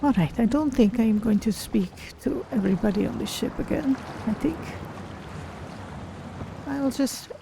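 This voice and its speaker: A streamer voicing a gentle, polite cat-folk adventurer, musing unhurriedly through a fantasy video game. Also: mid-range voice, normal speed, creaky, background noise, close to an everyday voice.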